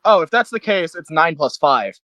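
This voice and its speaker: Male tabletop player, high and bright, casual chatter, animated delivery. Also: normal speed, dry recording. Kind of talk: casual game chat.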